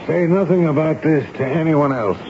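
A man playing a kindly, reasonable army major, the commandant of a fort, with an educated and measured manner in a radio drama. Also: a little high, mellow, close to an everyday voice. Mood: alert. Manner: educated, measured, sympathetic, easily puzzled. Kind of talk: 1970s radio drama narration and dialogue. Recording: dull, noisy old radio broadcast.

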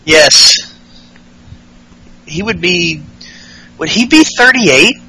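A young man in his late twenties with a high, very bright voice chats casually and profanely about wrestling. He rambles and restarts often, and a faint hiss sits under the recording. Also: animated, extremely slow, rough recording. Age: 20s. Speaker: podcast co-host.

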